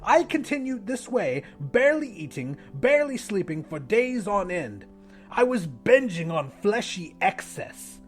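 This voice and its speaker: Guy doing a pompous old widowed professor confessing his depravity, audiobook style, high voice that swoops around, music behind. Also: very animated, measured pace. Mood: grandiose. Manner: theatrical, ornate, emphatic delivery. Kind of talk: dramatic first-person audiobook narration.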